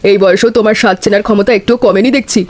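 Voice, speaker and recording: A woman's voice: mid-range voice, fairly even intonation, racing, a little mellow, natural voice. A woman playing a cheerful, agreeable mother-in-law of a village household in cartoon dialogue. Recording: music behind the voice.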